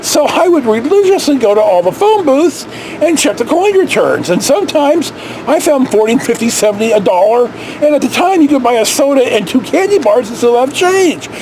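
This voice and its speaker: High-voiced middle-aged American man reminiscing. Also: normal speed, background noise. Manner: salty, sweary, animated reminiscing. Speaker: storyteller, vlog host. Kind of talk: casual rambling personal storytelling. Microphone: camera held at arm's length.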